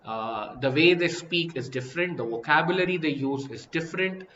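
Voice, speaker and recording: A young man with an Indian English accent teaches an IELTS lesson live, animated, asking "right?" and "okay?" again and again. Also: a little high, normal speed. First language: Hindi. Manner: animated, repeats and rephrases, asks "right?" and "okay?" often. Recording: echoey room, slightly dull sound, faint hiss.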